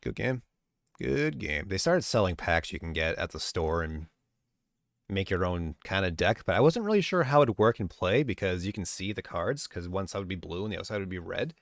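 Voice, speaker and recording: A man with a low voice that swings widely in pitch as he talks. He chats casually on a podcast and curses often. The sound is dry and close to the mic. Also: brisk, vocal fry, dry recording. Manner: animated, swearing, jumps into mock voices. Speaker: podcast co-host. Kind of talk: casual chat among friends.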